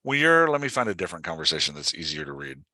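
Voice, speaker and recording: A man with a bright, forceful voice talks someone through their work like a hands-on coach. His delivery is casual but direct, and he keeps checking for agreement. The sound is close and dry, with very little room. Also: low-pitched, big pitch swings, rapid-fire, dry recording. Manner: direct, instructive, often checks understanding. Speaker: podcast host coaching an author on dialogue. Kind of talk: casual one-on-one coaching talk.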